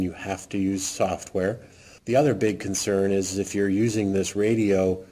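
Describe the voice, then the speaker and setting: A man casually walking through a how-to demo, talking in a halting way with many fillers. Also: lowish voice, dark tone. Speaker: radio hobbyist demonstrating programming. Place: indoor desk, off camera.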